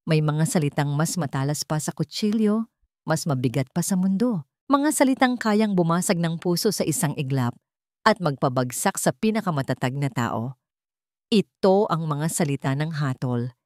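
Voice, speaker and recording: A woman's voice: low voice, expressive, normal speed, a little mellow, no room sound, clean studio sound. A woman reading a scripted, dramatic story as its narrator.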